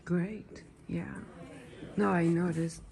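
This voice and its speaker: A woman with a fairly low, creaky voice chats casually as an interviewer. She speaks in short remarks and informal questions. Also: level tone, slow pace, background noise. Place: indoor showroom.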